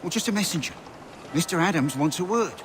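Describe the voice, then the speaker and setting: An actor playing a discreet, courteous messenger in a video game, with a gentle, polite manner. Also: male voice, high-pitched, lively intonation, fast. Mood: reassuring. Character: courteous, soft-spoken male messenger, helpful and discreet. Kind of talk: video game cutscene acting. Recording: music in background.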